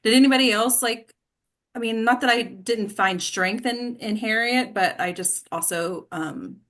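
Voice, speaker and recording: A woman with a very bright, forward voice leads an informal discussion. She thinks out loud, hesitating and reworking her sentences as she goes, in the easy style of a host among colleagues. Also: a little high, measured pace. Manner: hesitant, self-correcting, informal. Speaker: host and director of a table-read discussion. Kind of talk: casual discussion, thinking aloud.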